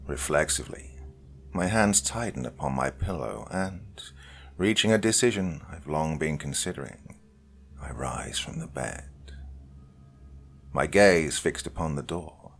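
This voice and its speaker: A man with a deep, gravelly voice reads a dark tale with theatrical swings in volume. He drops to hushed whispers and then rises again. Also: lively intonation, a little slow, slight background noise. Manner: dramatic, swinging between loud and whispered. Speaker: story reader. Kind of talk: dramatic horror story reading.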